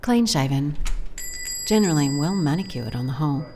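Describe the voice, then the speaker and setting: A woman's voice: sits low, expressive, fairly quick, background noise. A woman playing a weary private investigator in an audio drama, dictating case notes in a dry, guarded, confiding way. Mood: wry, observant.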